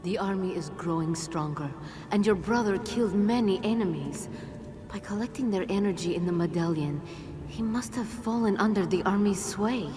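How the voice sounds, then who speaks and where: A woman playing a grave, commanding djinn guide in a video game, speaking in a solemn, formal, measured manner. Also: mid-range voice, lightly acted. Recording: music behind the voice.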